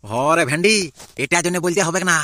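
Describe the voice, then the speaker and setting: A brash village lad from a cartoon acted by a man brags in a Purulia Bengali accent. His voice is fairly high and bright, fast in pace and very dry. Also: dry recording. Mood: boastful. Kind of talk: amateur cartoon comedy dialogue.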